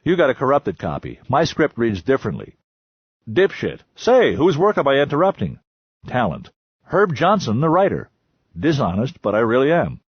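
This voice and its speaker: A man voicing a dry, wisecracking writer, only a little higher than his own voice. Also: huge pitch swings, normal speed, husky, no room sound, dull audio.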